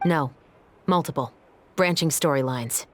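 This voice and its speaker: Anime-game police captain: lowish female voice, clipped, deadpan, dry authority. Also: unhurried, slight background noise.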